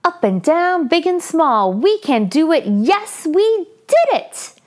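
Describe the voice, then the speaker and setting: A woman's voice: very high-pitched, sing-song, takes it slowly, soft background music, pitched above the performer's own voice, exaggerated voice. A woman voicing tiny, spirited storybook ants with an emphatic, sing-song delivery. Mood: cheerful chant.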